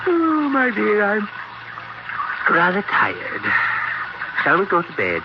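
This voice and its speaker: An actor playing a genial, smooth-talking husband who is secretly a schemer, in a fussy, polite 1940s radio style. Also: male voice, high-pitched, huge pitch swings, slow pace, exaggerated voice. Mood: politely evasive. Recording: noisy, dull 1940s radio recording.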